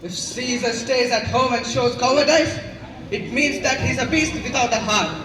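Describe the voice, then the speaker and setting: A man's voice: extremely high pitch, moderate pace, a bit bright. A young man acting a proud, fearless Roman ruler in a school play, declaiming boastfully. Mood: commanding, defiant. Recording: echoey noisy hall from far off.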